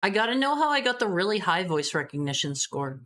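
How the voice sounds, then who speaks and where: Chatty woman streamer, lively voice. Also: medium pitch, a little fast. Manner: chatty, rambling, barking short commands. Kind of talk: casual live-stream game commentary.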